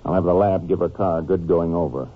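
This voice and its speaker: Very deep-voiced, resolute Texas Ranger. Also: male voice, fairly even intonation, quick pace, breathy edge. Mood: businesslike. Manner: matter-of-fact, clipped, polite. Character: steady, resolute male Texas Ranger investigator. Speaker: lead detective and case narrator. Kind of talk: old-time radio crime drama, terse. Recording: muffled, noisy vintage radio recording.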